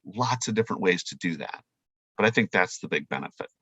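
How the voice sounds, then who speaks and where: A man's voice: low voice, brisk, slightly rough, no room sound, muffled recording. A man who works as a chef and presenter, talking in a casual, playful, emphatic interview style. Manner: animated, informal, playful, emphatic.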